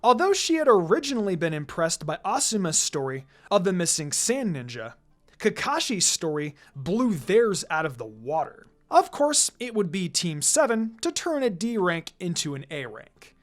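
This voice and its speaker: A narrator reading fan fiction aloud in an expressive, scripted storytelling style. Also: mid-range voice, normal speed. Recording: music behind the voice. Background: background music.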